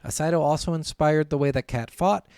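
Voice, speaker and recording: Casual male podcast co-host, higher-pitched, close dry sound. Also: brisk, a little mellow, smooth, no echo. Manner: informal, swears freely. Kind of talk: casual chat, reading notes and commenting.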